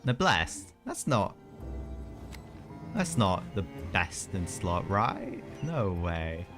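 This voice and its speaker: A man's voice: deep voice, big pitch swings, extremely slow. A young man doing casual live gameplay commentary, chatty and slangy with mild exclamations. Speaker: game streamer. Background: game music.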